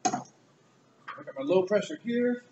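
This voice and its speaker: A man talks through a technical demonstration. His voice sits a little high, and he explains in an informal, halting way. The amateur camera audio carries plainly audible noise. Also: lively intonation. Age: 20s. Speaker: automotive student. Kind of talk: informal hands-on technical walkthrough.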